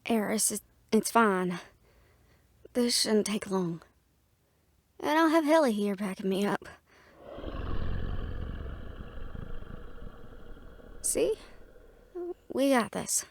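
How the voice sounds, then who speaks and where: A woman's voice: medium pitch, lively intonation, slow pace, pauses often, dry recording, clean studio sound. A woman playing a sarcastic, defiant young half-fae daughter in an audio drama, with a snappy manner. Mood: reassuring. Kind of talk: dramatic audio roleplay acting.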